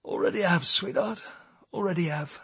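A domineering woman of power acted out by a male audiobook reader, taunting and scornful. The voice sits high for him, with a husky, creaky edge. She talks down to people with cutting, manipulative relish. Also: moderate pace, a bit bright, no room sound, full character voice. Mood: smug. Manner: taunting, cutting, mocking endearments.

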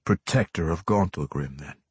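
A man voicing a stern, noble elf warrior in a fantasy story, speaking in a formal, measured and challenging way. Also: deep voice, slightly rough, dry recording, exaggerated voice.

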